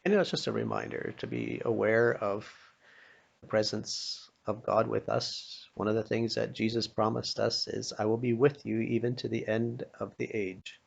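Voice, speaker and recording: Low, gravelly older American man telling stories. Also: level tone, lo-fi sound. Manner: folksy, plain-spoken, with pauses and chuckles. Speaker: storyteller sharing childhood memories with a religious lesson. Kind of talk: informal inspirational storytelling.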